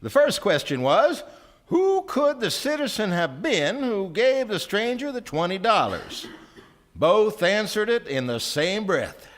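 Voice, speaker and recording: An actor as storyteller, narrating a live radio drama with measured, theatrical phrasing. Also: male voice, high-pitched, big pitch swings. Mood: storytelling. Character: storyteller narrating a wry small-town tale. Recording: live stage recording before audience.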